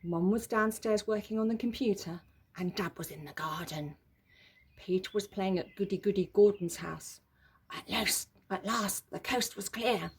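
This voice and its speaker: A woman's voice: medium pitch, moderate pace, husky. A woman narrating a children's story in a dramatic, emphatic way. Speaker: story reader.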